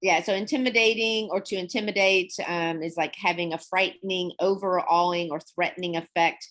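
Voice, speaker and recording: Relaxed woman teacher, low-pitched. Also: normal speed. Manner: relaxed, chatty, laughs often. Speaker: English teacher. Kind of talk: online class explaining vocabulary.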